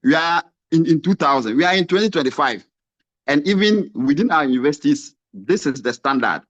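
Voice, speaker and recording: A passionate Ghanaian man, an academic and novelist, presses his point at a panel with a string of rhetorical questions, his voice climbing for emphasis. His voice sits a little high, and it comes through muffled and dull over a video call. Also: lively intonation, fairly quick, a bit bright. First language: a Ghanaian language.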